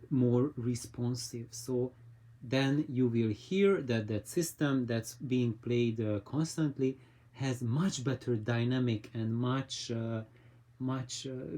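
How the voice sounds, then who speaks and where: Slow-talking, mellow man explaining casually. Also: medium pitch. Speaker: audio reviewer and vlog host. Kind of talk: informal explainer talk to viewers.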